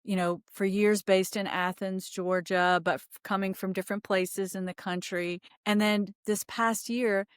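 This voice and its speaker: A woman's voice: medium pitch, flat delivery, measured pace, hardly any pauses, smooth, no room sound, clean studio sound. A middle-aged woman hosting a podcast in a conversational interview style. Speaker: podcast host.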